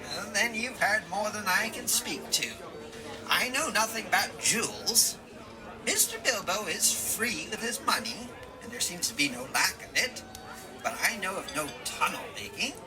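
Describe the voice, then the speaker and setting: Lively, folksy old hobbit gardener. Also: male voice, sits high, moderate pace, rough recording. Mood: folksy, defensive. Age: older. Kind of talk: audio drama acting. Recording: music and crowd murmur behind.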